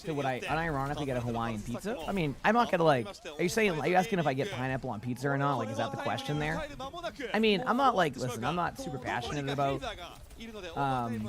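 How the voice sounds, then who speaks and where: A man streaming live and chatting casually with his viewers while watching a match, rambling with lots of fillers, his voice going a little hoarse. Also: medium pitch, expressive, fast talker, a crowd in the background. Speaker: game streamer.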